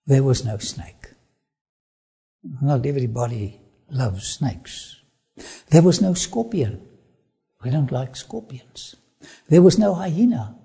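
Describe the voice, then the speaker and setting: Gravelly slow Afrikaans-accented man. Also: fairly high pitch, mellow. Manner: slow, emphatic, drawn-out phrasing. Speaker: documentary presenter. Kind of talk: travel documentary narration with sermon-like asides.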